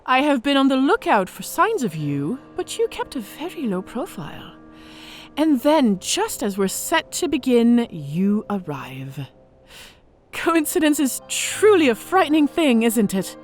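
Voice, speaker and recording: A woman's voice: sits high, very animated, measured pace, faint music behind. A woman playing a smug female orc centurion in an audio drama, speaking with mock-sweet politeness and cool menace. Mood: probing, menacing.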